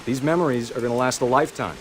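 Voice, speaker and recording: An actor plays an enthusiastic outdoorsy father in a game scene. His middle-pitched male voice is earnest and insistent. Rain is clearly audible around him. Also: fast, close to an everyday voice. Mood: promising. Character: intense, enthusiastic outdoorsy father on a hunting trip. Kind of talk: dramatic game dialogue. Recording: rainy outdoor noise behind.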